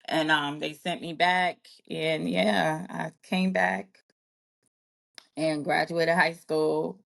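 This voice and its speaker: A woman's voice: low voice, steady tone, slow, gravelly, fairly bright tone. A woman in her early forties, born in Jamaica, chatting as an interview guest, rambling and correcting herself as she goes. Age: early 40s. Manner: rambling, self-correcting, laughs often. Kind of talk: casual interview chat.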